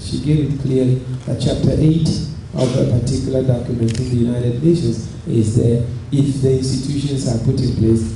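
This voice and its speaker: A middle-aged Ghanaian man giving a public lecture, measured and deliberate, growing animated when telling stories. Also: medium pitch, gravelly. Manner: measured and deliberate, rising with enthusiasm in anecdotes. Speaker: lecture speaker. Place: lecture hall. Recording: far echoey hall with noise.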